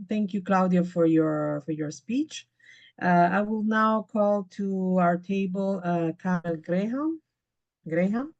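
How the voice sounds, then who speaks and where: Woman, low voice, webinar moderator, polite, halting, video call audio. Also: darkish tone, highs cut off. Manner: polite, halting with many fillers.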